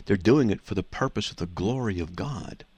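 A man's voice: low voice, expressive, moderate pace, a little mellow, husky, no room sound. A man hosting a call-in radio show, explaining scripture to callers in an assertive, teaching manner. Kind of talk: call-in radio Bible answers.

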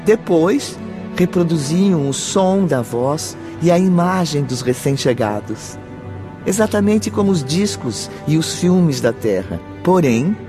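An actor as the solemn narrator of a spiritual radio drama, speaking formal Portuguese dramatically with a Brazilian accent. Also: male voice, on the high side, big pitch swings, slow pace, over music. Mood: marveling, explanatory. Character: solemn male storyteller of a spiritual tale.

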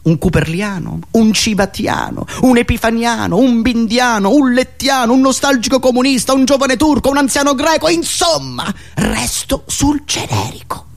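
A man with a Roman Italian accent performing an ironic, theatrical satirical monologue, swinging between muttering and loud declaiming. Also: very high-pitched, normal speed, gravelly, hardly any pauses. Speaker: satirical monologue performer and radio show host.

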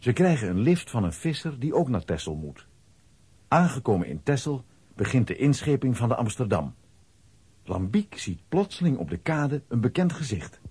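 A man narrating a children's radio play as an expressive storyteller reading a script. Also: medium pitch, normal speed, husky, no echo.

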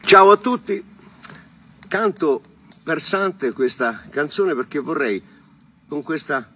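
A man's voice: fairly high pitch, lively intonation, slow, slightly rough. A male singer informally introducing a tribute song he is about to perform, with guitar playing. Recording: loud plucked guitar with voice.

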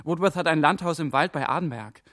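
A young man voicing an intern who works out clues with quick excitement, in a radio crime drama. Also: on the high side, lightly acted. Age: young adult. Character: young male intern turned sleuth.

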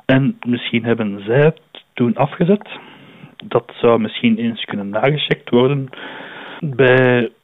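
A man's voice: medium pitch, measured pace. A man phoning in a tip as a listener, reasoning carefully and tentatively over a phone line.

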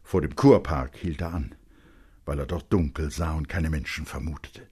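Deep, husky male literary narrator. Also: normal speed. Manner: expressive and dramatic, swelling in urgency and dropping to hushed tones. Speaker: narrator, audiobook reader. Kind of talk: literary scripted narration, German radio storytelling.

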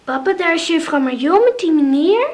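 A nosy little girl in an old radio drama, her voice high and bright. She chatters at the window about the grown-ups. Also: expressive, moderate pace. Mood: curious. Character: young girl Inge, curious child.

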